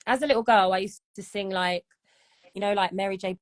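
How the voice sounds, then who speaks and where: A woman's voice: mid-range voice, brisk. A woman in her thirties, a singer, chatting casually in an interview and often checking "do you know what I mean?". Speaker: singer and guest.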